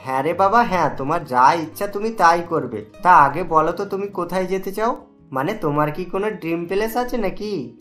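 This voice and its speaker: A man's voice: sits high, expressive, moderate pace, fairly bright tone, soft background music. A man voicing a caring, patient young husband and son in a Bengali cartoon, speaking reassuringly. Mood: fond, indulgent.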